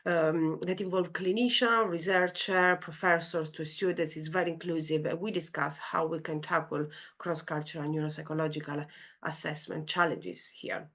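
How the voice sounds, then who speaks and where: A woman's voice: low voice, fairly even intonation, normal speed, fairly bright tone, lo-fi sound. A woman with an Italian accent, a senior lecturer in clinical psychology, presenting a conference talk with many "you know, like" fillers. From Italy. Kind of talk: academic conference talk with slides.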